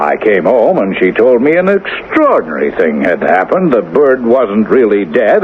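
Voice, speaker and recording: A rueful, animated chatty prisoner. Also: male voice, on the low side. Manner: halting, wry storytelling with chuckles. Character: rueful, chatty condemned man who killed his wife. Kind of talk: 1970s radio drama acting. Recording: tinny, noisy old radio broadcast.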